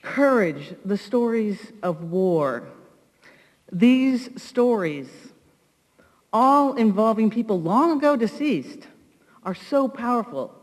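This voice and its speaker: Slow, solemn woman in a reverberant hall. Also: mid-range voice, a little mellow. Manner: solemn, deliberate, with dramatic pauses. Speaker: congregation leader introducing a speaker. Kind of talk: prepared ceremonial welcome address. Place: large hall, live ceremony.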